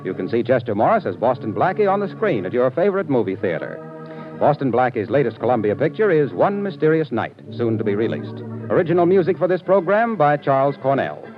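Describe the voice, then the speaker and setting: A hearty male announcer with a lively, rising-and-falling sales delivery. His voice comes through a muffled old 1940s radio broadcast with faint music underneath. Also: medium pitch, fairly quick.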